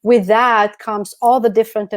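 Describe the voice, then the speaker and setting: A woman's voice: on the high side, steady tone, normal speed. A woman from Algeria, a business coach, answering interview questions in a reflective way with some restarts.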